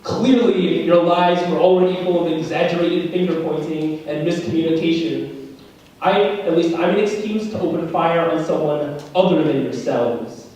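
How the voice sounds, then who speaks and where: A young man performing a slam poem with dramatic delivery, swinging from loud shouts to low hushed lines. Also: normal speed, dull audio. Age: young adult. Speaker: slam poet. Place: school room. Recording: very echoey room, voice from far off.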